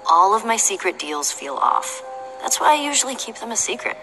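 Young streetwise heroine, played by an actress: self-assured, animated delivery, game dialogue over clear music. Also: female voice, medium pitch, fairly quick, lightly acted. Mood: confident. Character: confident, streetwise young female adventurer. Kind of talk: video game voice acting. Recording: music behind the voice.

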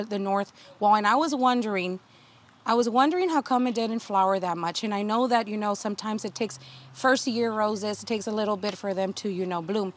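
Chatty woman touring her rose garden. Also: medium pitch. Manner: chatty, many fillers and restarts. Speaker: garden video host. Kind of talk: casual garden tour chat. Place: outdoor home garden.

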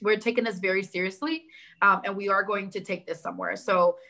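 Brisk woman hosting a video call. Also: mid-range voice, steady tone, gravelly, sharp tone. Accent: New York. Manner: brisk, organized, encouraging. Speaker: town hall host. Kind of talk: moderating a video call meeting. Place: indoor room with big windows. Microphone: laptop webcam on a video call.

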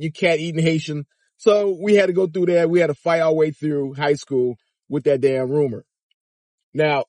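A man's voice: on the high side, no echo. A man in his fifties from Miami, talking animatedly to viewers from inside a car in a casual commentary vlog. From Miami, Florida. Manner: animated, chatty, addresses viewers directly. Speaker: vlogger. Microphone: phone or dash camera at arm's length.

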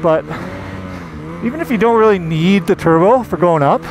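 A man from Canada giving casual, enthusiastic commentary while riding a snowmobile through deep snow, recorded on a helmet camera. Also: animated, normal speed, over music. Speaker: magazine editor reviewing a snowmobile. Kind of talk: casual ride-along commentary. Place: outdoors in deep snowy forest on a snowmobile. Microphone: helmet-mounted action camera. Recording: engine and wind noise.

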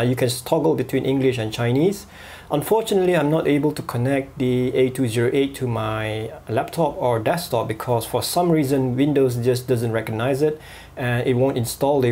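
A man reviewing a walkie-talkie at a desk, pausing often with fillers and restarts. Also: medium pitch, warm tone. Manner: halting, many fillers and restarts. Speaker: gadget reviewer. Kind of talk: product review voice-over.

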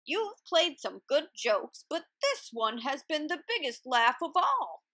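A woman voicing a fond, amused old elephant in a children's storybook, expressive and drawn-out. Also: high voice, no room sound, clean studio sound, exaggerated voice.

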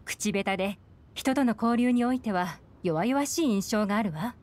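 A woman's voice: medium pitch, expressive, moderate pace, heavy background noise, close to an everyday voice. An actress playing a cool, shrewd young woman investigator in a Japanese game, composed, analytical and direct.

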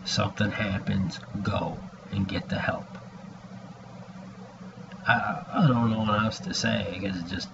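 A man in his forties with a gravelly voice, giving a halting, rambling personal message to a webcam at home. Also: on the low side, sing-song. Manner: halting, hesitant, with long pauses. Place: small room indoors at home. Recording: dull lo-fi webcam audio, background noise.

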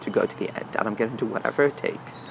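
A man's voice: lowish voice, fast, creaky. A man in his twenties from Yemen, a contestant speaking bluntly and with determination outdoors. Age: 20s. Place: outdoors in a park. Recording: outdoor noise behind.

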